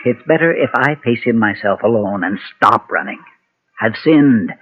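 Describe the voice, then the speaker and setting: An actor playing a guilt-ridden former preacher and gunfighter, solemn and halting, in a Golden Age radio western. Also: male voice, mid-range voice, animated, breathy edge. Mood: resigned. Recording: muffled, thin vintage radio recording.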